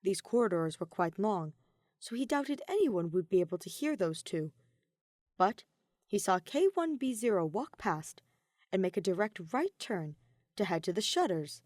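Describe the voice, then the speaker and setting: Woman reading a story aloud, expressive pitch, really dry close sound, audiobook narrator. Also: moderate pace, dry recording. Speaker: podfic narrator.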